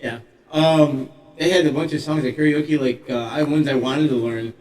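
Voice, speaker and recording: A man's voice: a little high, fairly even intonation, normal speed, a little mellow, far from the mic, faint music behind. An older man co-hosting a podcast, chatting casually and agreeably with friends. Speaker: podcast co-host. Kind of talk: casual chat. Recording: echoey room, away from mic.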